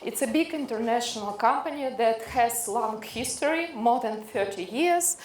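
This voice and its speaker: Middle-aged woman, Ukrainian accent, slightly high voice, halting medical lecture delivery, echoey room. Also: lively intonation. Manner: halting, hesitant non-native phrasing with frequent searching for words. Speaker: dermatologist lecturer. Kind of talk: introductory medical lecture to an audience. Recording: echoey room with faint background noise.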